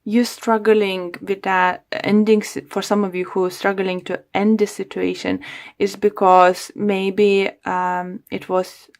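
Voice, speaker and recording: A woman reads tarot cards for her viewers in a slow, easygoing chat, dotted with "you know" and "um". Her voice is strained and fraying from overuse, with a gravelly fry running under her words, and she takes her time. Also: mid-range voice, flat delivery. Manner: unhurried, chatty, many "you know" and "um" fillers. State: losing her voice, coughing. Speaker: tarot reader. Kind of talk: casual tarot reading to viewers.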